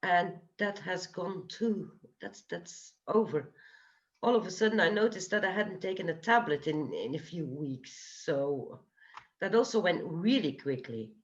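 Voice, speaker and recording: A woman speaks earnestly on a video call, pausing and restarting as she recalls the details. Her voice is slightly low, and the audio comes through dull and lo-fi. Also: lively intonation. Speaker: guest giving a testimonial. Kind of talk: casual video call chat.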